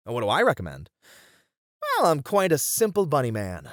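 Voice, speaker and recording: A man plays a friendly, bookish bunny man in an ASMR roleplay. His mid-pitched voice swings expressively as he chats helpfully, with a bashful streak. The sound is dry and close, with hardly any room. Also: wildly swooping pitch, normal speed, no room sound. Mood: relaxed, confiding. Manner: chatty, helpful, easily flustered, breaking into chuckles and hesitations. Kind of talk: ASMR roleplay, one-sided conversation with the listener.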